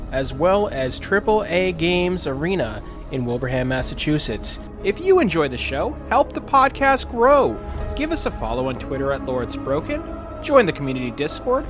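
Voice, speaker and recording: A man with a fairly high voice reads a scripted podcast promo. His pitch swings in a lively way, and music plays clearly behind him. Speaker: podcast announcer.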